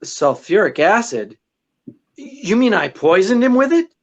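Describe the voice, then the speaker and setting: An actor performs a wisecracking male sleuth from a 1940s radio play, swinging freely in pitch. He is sardonic and unruffled, teasing with small hesitations. The recording sounds dull and muffled. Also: very animated, unhurried. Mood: wry, amused. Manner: droll, sardonic, chuckling hesitations. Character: wisecracking, unflappable male amateur detective, teasing and amused.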